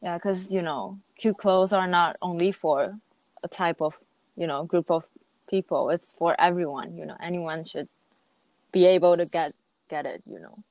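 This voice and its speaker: A woman's voice: fairly low pitch, moderate pace, a little mellow, dry recording, low-quality recording. A young woman from Taiwan and Japan chatting casually, hesitant as she searches for her words. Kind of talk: casual conversational discussion.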